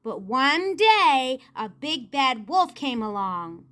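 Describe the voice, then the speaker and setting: A woman's voice: sits high, very animated, slow pace, pushed and bright. A woman storyteller narrating expressively, as in a puppet show for children.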